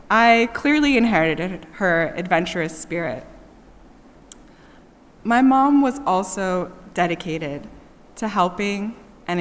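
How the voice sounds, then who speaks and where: A woman reads a prepared eulogy aloud as a family speaker at a memorial, in a large echoey church. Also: medium pitch. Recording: echoey church hall with long reverb.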